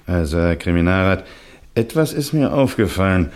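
Low-voiced, puzzled police officer. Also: male voice, animated, moderate pace, darkish tone. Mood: puzzled, inquisitive. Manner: hesitant, inquisitive. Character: deferential, puzzled male police officer, questioning his boss. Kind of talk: 1960s German radio drama acting.

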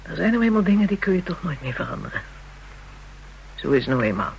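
A woman's voice: fairly low pitch, big pitch swings, quick pace, vocal fry, muffled recording, a little hiss or hum. A woman playing a bitter, hard-bitten young call girl in a radio drama, coarse and defiant. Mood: resigned.

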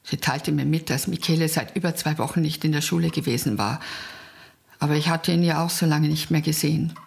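An actress playing a weary, indifferent aunt in a radio crime drama, blunt, dry and resigned. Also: female voice, sits low, steady tone, a little fast, creaky, darkish tone. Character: weary, indifferent, plain-spoken aunt of delinquent boy.

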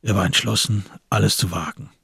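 A man's voice: lowish voice, fairly even intonation, a little fast, creaky, bright, forceful tone, slightly rough, no room sound, studio quality. A man narrating a radio crime drama in scripted, literary prose.

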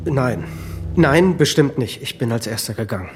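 Actor as anxious, stammering husband under questioning. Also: male voice, mid-range voice, lively intonation, a little hiss or hum, lightly acted. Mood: agitated, insistent. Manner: halting, stammering, defensive. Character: anxious, exhausted husband with drinking habit under interrogation. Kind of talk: naturalistic radio drama acting.

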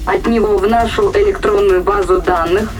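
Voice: medium pitch, steady tone, normal speed, soft background music, lightly acted. A performer voicing a dutiful ship's computer in a science fiction audio drama, formal and matter-of-fact.

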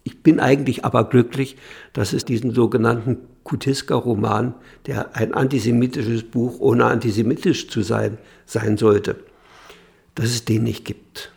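Man, gravelly mid-range voice, thinking aloud hesitantly as an interviewee. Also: mid-range voice, level tone, normal speed, darkish tone. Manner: hesitant, thoughtful. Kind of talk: spontaneous interview speech.

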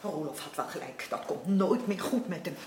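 A woman's voice: low-pitched, expressive, normal speed, very noisy. An actress playing a defensive, uncomprehending middle-aged mother who chatters, scolds and justifies herself, in a Dutch radio play. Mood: indignant, self-justifying. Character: defensive, nagging, uncomprehending mother of a troubled son.

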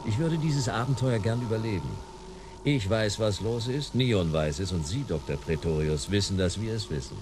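An actor playing a cool, sardonic detective hero in a German sci-fi radio play, dry, terse and commanding. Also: male voice, on the low side, animated, normal speed, noisy background, close to an everyday voice.

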